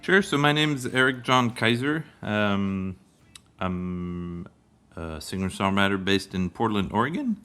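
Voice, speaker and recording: Middle-aged man, French accent, low-pitched voice, casual interview talk, singer-songwriter guest. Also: lively intonation, unhurried, sharp tone, clean tone, soft background music. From Paris, France.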